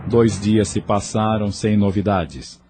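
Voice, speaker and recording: A lower-voiced man narrates an audio drama in a measured, even storytelling manner. Soft music plays faintly beneath his voice. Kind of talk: scripted narration.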